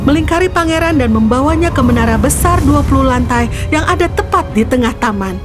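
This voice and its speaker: High-voiced woman narrating a fairy tale over music. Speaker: storyteller. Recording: music behind the voice. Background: background music.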